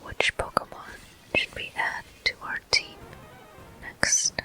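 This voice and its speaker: A person whispering gameplay commentary for an ASMR video, breathy and gentle, in short, unhurried phrases. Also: faint music behind. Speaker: host of a gaming ASMR video.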